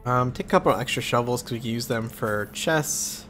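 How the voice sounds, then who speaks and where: A man's voice: mid-range voice, a little fast, soft background music. Man with a cold, casual game commentary. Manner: relaxed, thinking aloud with fillers. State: congested from a cold. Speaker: let's play host.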